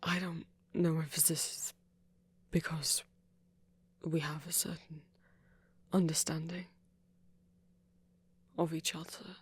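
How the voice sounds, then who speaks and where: A man voicing a gentle, self-possessed, flirty vampire in an intimate ASMR audio roleplay, soft, deliberate and very breathy. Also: on the high side, level tone, no room sound. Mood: tender, sincere. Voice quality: whispery, very breathy.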